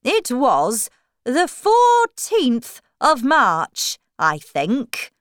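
A woman's voice: sits high, wildly swooping pitch, very slow, a bit bright, no room sound, studio quality, voice pushed up, heavily acted. A woman voicing a flustered, apologetic poor hatter, hesitant and pleading, in a storybook.

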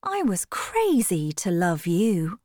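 Proud, cruel young man, curt and cold, slow and lively in pitch, as a woman narrator reads him. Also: no room sound, clean studio sound, exaggerated voice.

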